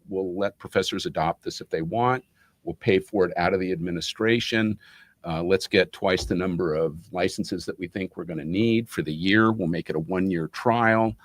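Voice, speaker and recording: Very deep-voiced professorial man. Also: dry recording, clean studio sound. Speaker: interview guest, business school academic. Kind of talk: explanatory conversational interview answer.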